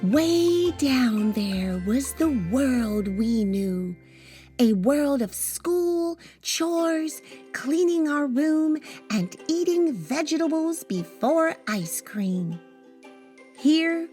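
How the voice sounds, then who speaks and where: A woman voicing an imaginative young boy who narrates his adventure in a dramatic, expressive storybook read-aloud. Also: sits high, exaggerated voice. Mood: dreamy wonder. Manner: dramatic, expressive, drawn-out delivery.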